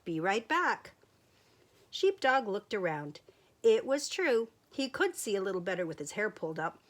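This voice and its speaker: A woman reading a picture book aloud to children. Her voice is playful and expressive, sitting at a middle pitch while her melody leaps around. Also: normal speed. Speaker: storyteller teacher.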